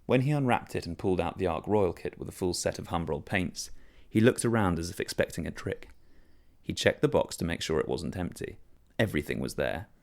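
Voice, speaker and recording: A man's voice: deep voice, expressive, a little fast, creaky. A man reading a first-person literary short story as scripted narration.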